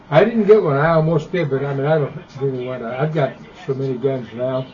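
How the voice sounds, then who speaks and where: A man's voice: medium pitch, a little mellow. An American man in his eighties chatting casually as an amateur radio operator, with a blunt, opinionated, rambling manner. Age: 80s. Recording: dull lo-fi ham radio audio.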